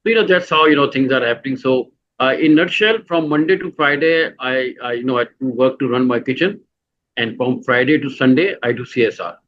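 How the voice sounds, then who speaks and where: A middle-aged man with a Pakistani accent, answering questions at an ordinary pitch. He keeps stopping to restart his sentences and fills the gaps with "you know." The audio is slightly dull and lo-fi. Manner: halting, full of "you know" fillers and restarts. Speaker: guest. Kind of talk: conversational interview answers.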